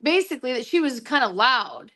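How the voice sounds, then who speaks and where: A woman's voice: high voice, animated, bright, forceful tone. A woman co-hosting a casual chat, reading from her own notes with frequent stops and restarts. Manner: halting, many restarts while reading notes. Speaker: co-host recapping trial notes.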